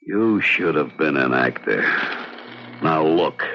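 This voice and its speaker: An actor playing a gruff, weary, sardonic New police lieutenant in a radio drama, barking slangy orders. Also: male voice, rumbling low, lively intonation, slow, heavily acted. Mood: commanding. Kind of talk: hard-boiled radio drama acting. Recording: dull, noisy old radio recording.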